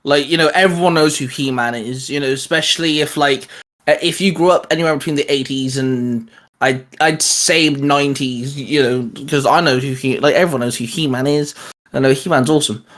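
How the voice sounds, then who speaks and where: A man's voice: medium pitch, a little fast, a bit bright. A man co-hosting a casual fan discussion, talking in a rambling, informal, jokey way. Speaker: co-host of a cartoon fan channel. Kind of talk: casual chat, fan commentary.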